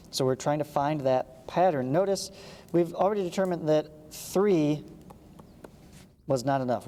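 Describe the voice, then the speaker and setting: A man's voice: medium pitch, warm tone. A man teaching math, reading a lesson aloud and adding casual explanatory asides. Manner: explanatory, checks understanding with short asides. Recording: long reverberant echo, clearly noisy background.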